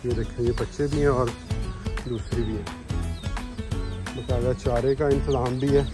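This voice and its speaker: A man's voice: medium pitch, fairly even intonation, normal speed. A man, giving a casual, informal walk-around tour outdoors, often urging viewers to look. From Pakistan. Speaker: vlog host. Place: outdoors, roadside and open farm sheds. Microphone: phone at arm's length. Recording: background music under outdoor phone audio. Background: music.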